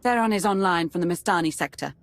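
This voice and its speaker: A businesslike female adviser from a video game , played by a woman. Her lowish voice delivers reports briskly and formally. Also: natural voice. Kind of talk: video game cutscene acting.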